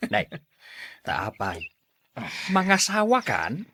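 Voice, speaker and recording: An actor playing an older farmer father, questioning and doubtful of his son, with drawn-out delivery in a radio drama. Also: medium pitch, huge pitch swings, pushed and bright, slight background noise, heavily acted.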